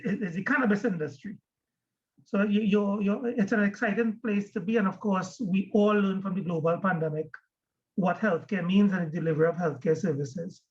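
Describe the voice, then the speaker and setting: A man from Trinidad and Tobago with a Trinidadian accent, giving a webinar presentation as guest speaker, often repeating words as he starts a phrase. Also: sits high. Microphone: video call. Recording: dull lo-fi video call audio.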